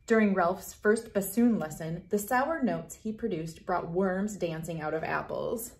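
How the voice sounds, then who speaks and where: A woman's voice: fairly low pitch, a little slow, a little mellow. Woman reading a children's storybook aloud. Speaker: children's book read-aloud host. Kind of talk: storybook read-aloud narration. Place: small wood-panelled room indoors.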